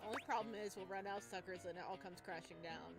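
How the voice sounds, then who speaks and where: A woman's voice: mid-range voice, fast. A woman gaming streamer giving casual let's play commentary, thinking aloud and reading game text. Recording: clear game music underneath. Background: video game music.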